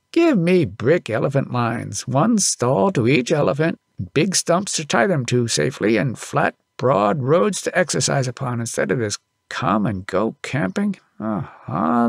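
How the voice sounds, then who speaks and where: A man's voice: on the high side, vocal fry, breathy edge, no echo, clean studio sound, heavily acted. A man voicing a proud, grumbling middle-aged elephant driver who scolds in archaic speech for an audiobook. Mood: weary grumbling. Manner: indignant, archaic thee-and-thou speech. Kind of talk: audiobook character voice.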